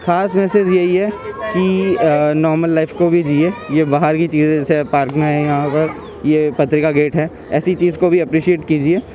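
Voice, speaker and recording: A man's voice: on the high side, level tone, normal speed, music in the background. A young man in his twenties, a student answering street interview questions outdoors in a park, earnest and hesitant, mixing English into his Hindi.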